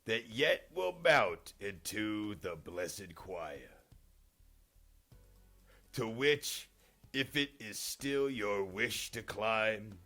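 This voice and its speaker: A man's voice: on the low side, animated, very slow, pushed and bright, faint music behind, full character voice. A man voicing the ghost of an ancient poet, a grave prophetic guide, declaiming solemnly in an epic poetry reading. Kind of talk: dramatic storybook poetry reading.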